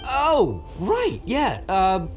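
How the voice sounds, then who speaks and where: A man's voice: high voice, wildly swooping pitch, extremely slow, full character voice. A man playing a brash, big-hearted, boastful oni gang boss in a video game, with a slangy, cocky, theatrical delivery. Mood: reassuring. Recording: game music under the voice.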